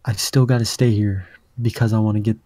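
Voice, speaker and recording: A young man with a deep voice telling a rambling story on a casual podcast, full of fillers. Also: steady tone, brisk, warm tone, no room sound. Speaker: podcast host.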